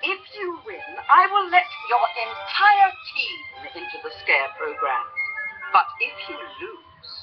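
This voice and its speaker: A woman playing a stern, commanding monster college dean in a children's storybook, formal in manner, with a creaky voice. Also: very high voice, huge pitch swings, slow, heavily acted. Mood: stern, threatening. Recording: muffled playback with music behind.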